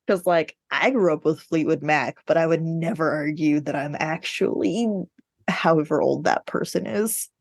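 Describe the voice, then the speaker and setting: A woman's voice: low voice, moderate pace, vocal fry, dry recording, studio quality. A woman podcast host in a casual book discussion, speaking in a blunt, informal, sweary way.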